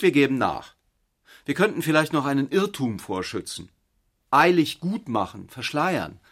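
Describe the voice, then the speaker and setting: An actor playing a sober, brooding intellectual man in a literary radio drama, speaking in a deliberate, analytical way. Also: on the high side, a bit bright. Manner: deliberate, analytical, measured phrasing. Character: Ulrich, sober brooding intellectual man, weighing a hopeless situation.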